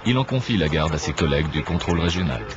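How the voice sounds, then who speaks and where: A man reading a scripted documentary narration in a measured, didactic manner. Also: rumbling low, expressive, dull audio, noisy background. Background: clear background music.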